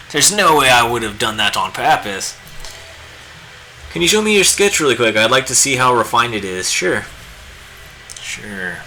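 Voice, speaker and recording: A hoarse, raspy man chatting casually and rambling with lots of fillers while he draws on a live stream. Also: fairly low pitch, sharp tone, rough recording. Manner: laid-back, rambling, many fillers. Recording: music playing behind the voice. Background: guitar music.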